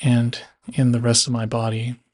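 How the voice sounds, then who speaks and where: A man guiding a body scan meditation in a measured way, leaving long pauses between phrases. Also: mid-range voice, completely flat delivery. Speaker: meditation guide.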